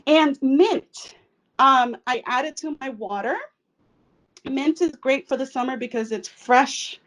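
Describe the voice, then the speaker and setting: A woman's voice: sits high, slow pace, a bit bright, distant mic, low-quality recording. A woman from El Salvador giving a casual cooking demonstration in her home kitchen, chatty with frequent fillers. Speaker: cooking instructor.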